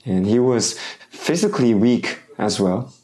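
Man, pastor, hoarse from a cold, mid-pitched, halting sermon delivery full of restarts. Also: expressive, warm tone. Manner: halting, with frequent restarts and fillers.

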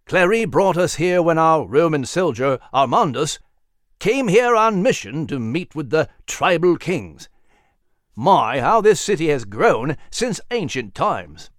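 A man voicing a refined, learned animal gentleman in a children's story, with a formal, precise manner. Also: on the high side, sing-song, measured pace, dry recording, studio quality. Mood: delighted.

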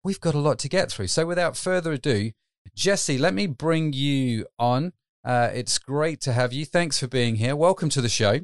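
A man hosts an interview with eager, upbeat questions. His voice sits at a middle pitch. The sound is dry and close, with little room around it. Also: expressive, moderate pace, no room sound. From United Kingdom. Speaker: podcast host. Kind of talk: interview hosting.

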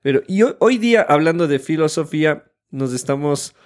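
A man's voice: a little high, animated, normal speed. A man in his thirties with an Ecuadorian Spanish accent, co-hosting a conversational podcast. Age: 30s. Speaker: podcast co-host.

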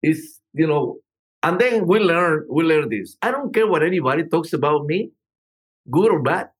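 A man's voice: fairly high pitch, lively intonation, normal speed, breathy edge. An older man from Peru, a musician telling stories in an interview, rambling with many restarts. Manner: rambling, frequent you know and restarts. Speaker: musician guest. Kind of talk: storytelling interview answers.

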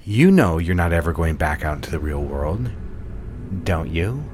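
An actor playing a cruel, controlling, volatile husband in an audio drama, with a mocking, condescending manner. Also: male voice, low-pitched, sing-song, moderate pace, darkish tone, slight background noise. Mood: menacing.

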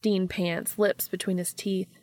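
A woman's voice: medium pitch, flat delivery, a little slow, darkish tone, no echo. A woman reading scripted fiction as an audiobook narrator.

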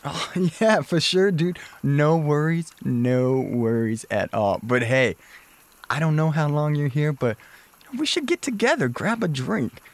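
A gushing, laid-back wellness hippie for an audio drama. His voice sits fairly high for a man and swoops up and down. He stretches his words slowly, like an easygoing surfer bro. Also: lively intonation, dry recording. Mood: breezy, friendly. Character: effusive, laid-back wellness-studio hippie dude, old schoolmate.

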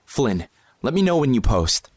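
An actor playing a protective safehouse host in an audio drama, direct and practical in manner. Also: male voice, mid-range voice, very animated, normal speed, husky, no room sound. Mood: apologetic, caring. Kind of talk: naturalistic audio drama acting.

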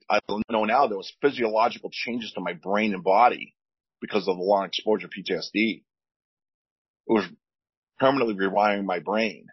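A man with a deep voice, a veteran speaking earnestly on a podcast, often repeating words as he searches for them. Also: breathy edge, dry recording, highs cut off. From United States. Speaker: veteran guest. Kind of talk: candid podcast talk.

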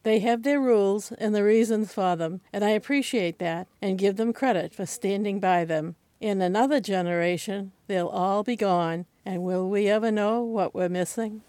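An older woman from Boston with a Boston accent, reading her own personal essay aloud at a senior center in a measured, wry way. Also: mid-range voice, warm tone.

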